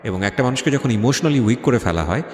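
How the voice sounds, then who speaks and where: A man's voice: mid-range voice, animated, fast talker. A man with a Bangladeshi accent hosts a radio interview, reflecting and advising, with English phrases mixed into his speech. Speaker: radio host. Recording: echoey reverb, faint music bed. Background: faint music.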